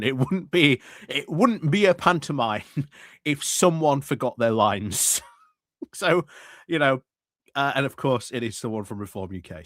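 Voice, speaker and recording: Mocking streamer, dry close sound. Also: male voice, medium pitch, a bit bright, no room sound. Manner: mocking, chuckling asides. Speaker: streamer commenting on council meeting. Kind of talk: casual live commentary.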